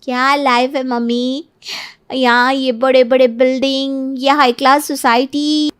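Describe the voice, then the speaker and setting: A woman's voice: sits high, flat delivery, a little slow, clean tone. A woman playing a self-centred, cunning young newlywed wife in a Hindi cartoon story, pert and argumentative. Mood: breezy, pleased.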